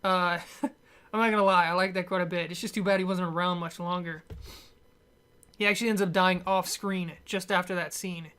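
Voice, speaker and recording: A woman's voice: lowish voice, fairly even intonation, fairly quick, fairly bright tone, smooth. Blunt woman rambling through a movie rant. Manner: blunt, opinionated. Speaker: movie reviewer. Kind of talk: casual rambling film review rant.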